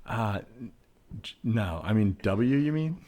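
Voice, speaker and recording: A man's voice: fairly low pitch, expressive, takes it slowly, mellow. A man doing improvised conversational comedy as a comedian.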